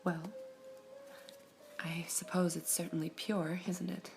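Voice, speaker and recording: A woman voicing a calm, formal female gem who serves tea, speaking in a soft, polite ASMR whisper with a slightly low voice. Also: takes it slowly, soft background music. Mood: serene. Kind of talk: ASMR roleplay.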